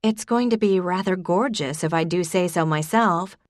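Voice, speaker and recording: A woman voicing an elderly grandfather who is teasing and contrary, with drawn-out, defiant words. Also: lowish voice, dry recording, clean studio sound, close to an everyday voice.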